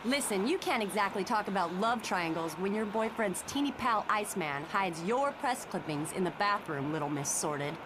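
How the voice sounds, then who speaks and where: A woman's voice: medium pitch, animated, moderate pace, hardly any pauses, lightly acted. A young woman acting a bantering, defensive superheroine in a motion comic. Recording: clear background ambience noise.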